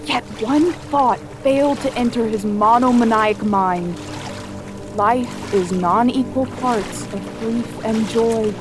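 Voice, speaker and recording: A woman playing a thoughtful sailor who narrates a doomed whaling voyage in measured, literary storytelling. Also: on the high side, music in the background. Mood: grave. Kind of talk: scripted dramatic narration.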